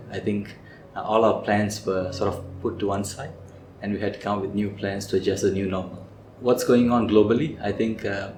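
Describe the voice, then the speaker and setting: A man in his thirties speaking in a measured, composed way as an interviewee reflecting on the year. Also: on the low side, noisy background. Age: 30s. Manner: measured, composed, with some fillers. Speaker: corporate affairs head and nonprofit founder. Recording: roomy sound with background music.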